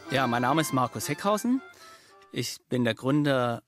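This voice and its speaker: A middle-aged man with a Swabian accent, a company founder telling his story in an interview, lively and anecdotal. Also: mid-range voice, moderate pace, faint music behind.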